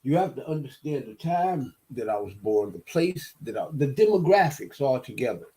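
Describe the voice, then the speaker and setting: A man in his fifties from Detroit, hosting his own show and telling his life story in a slow, halting monologue full of restarts and repeated words. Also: medium pitch, very animated, mellow, low-quality recording. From Detroit, USA. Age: 50s. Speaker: show host. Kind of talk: personal monologue.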